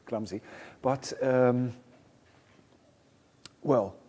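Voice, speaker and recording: A man's voice: mid-range voice, very slow, dark tone, husky, in a large hall. A man with a German accent and German as his first language, speaking as a music therapy researcher on a panel and often hesitating and restarting as he searches for words. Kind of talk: panel discussion.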